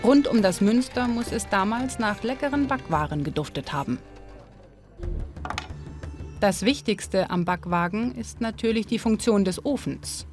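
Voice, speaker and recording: A woman reads documentary narration in a calm, explanatory voice with a light, playful edge. Her pitch sits in an ordinary middle range. Background music plays clearly underneath her. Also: measured pace.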